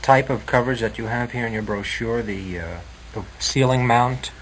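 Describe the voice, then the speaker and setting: A male radio host puts questions in a polite, slightly formal, conversational way. His pitch is average, and the 1960s recording has a light layer of noise. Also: steady tone, normal speed, low-quality recording.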